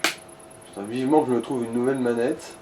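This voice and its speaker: A man's voice: mid-range voice, steady tone, fairly quick, noisy background. A man chatting casually as a companion during a video game session. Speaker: gaming companion. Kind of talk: casual gaming chat. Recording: roomy echo, faint music behind.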